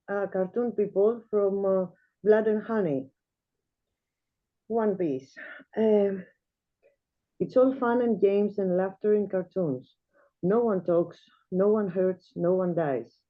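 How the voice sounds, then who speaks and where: A woman from Greece, reading her own flash fiction aloud in a measured, quiet way as a guest reader. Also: mid-range voice. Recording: tinny video-call audio.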